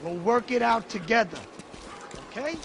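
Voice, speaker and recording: Slangy, high-voiced gunman. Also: animated, normal speed, vocal fry, a crowd in the background, exaggerated voice. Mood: placating, insistent. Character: pragmatic street gunman haggling with his crew. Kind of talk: video game cutscene acting.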